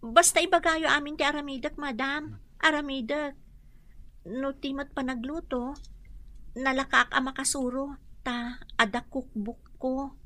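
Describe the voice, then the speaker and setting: High, bright-voiced anxious housemaid. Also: female voice, fairly even intonation, a little slow, long pauses, no echo. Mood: humble, pleading. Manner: polite and deferential, pleading when distressed. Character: anxious, deferential young housemaid woman, eager for work. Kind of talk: radio drama acting.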